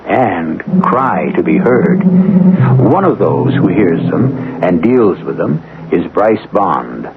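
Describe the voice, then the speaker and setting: A man hosting a radio mystery show, reading a scripted introduction in a theatrical, deliberate way. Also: sits low, vocal fry. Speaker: host of a radio mystery anthology. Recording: lo-fi, noisy old radio broadcast.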